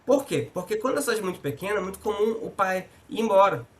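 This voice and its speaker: A man's voice: sits high, fast talker. A man hosting a podcast, a judge himself, who tells anecdotes and acts out the dialogue in them. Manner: animated storyteller who acts out quoted dialogue.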